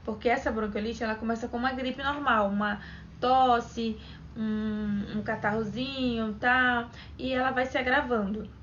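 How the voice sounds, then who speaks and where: A young woman from Brazil chats to her camera as a vlogger, her voice sitting a little high. She retells events in a chatty, conversational way. The sound is dull and lo-fi with a faint hiss behind her. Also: fairly even intonation, measured pace, fairly bright tone. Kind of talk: casual chat to camera.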